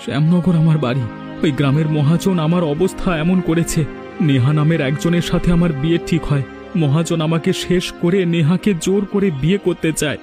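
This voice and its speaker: A man's voice: on the high side, steady tone, normal speed, over music, natural voice. A man playing a solemn, earnest young village man in a cartoon drama, grateful yet bent on revenge. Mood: pained, earnest.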